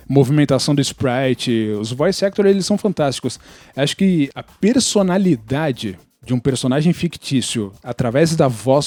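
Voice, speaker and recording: A man's voice: mid-range voice, warm tone, no echo. A Brazilian man explaining his opinions in casual podcast chat, blunt, opinionated and sometimes foul-mouthed. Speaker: podcast participant.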